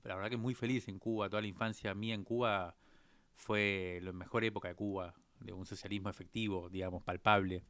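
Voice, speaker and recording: A man's voice: fairly low pitch, fairly even intonation, fairly quick, fairly bright tone, clean tone, dry recording. A man in his fifties from Argentina, speaking with an Argentine accent as an interview guest, telling his life story thoughtfully with frequent hesitant fillers. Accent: Argentine Spanish. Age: 50s. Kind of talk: reflective personal storytelling.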